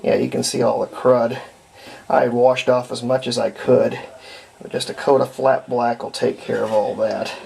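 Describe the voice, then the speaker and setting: A man, middle-aged, giving an unhurried, rambling, step-by-step commentary on hands-on restoration work, recorded indoors at home. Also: mid-range voice, little pitch movement, creaky, a little mellow, breathy edge. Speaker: hobbyist radio restorer presenting own repair videos. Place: indoors at home, partly in a bathroom.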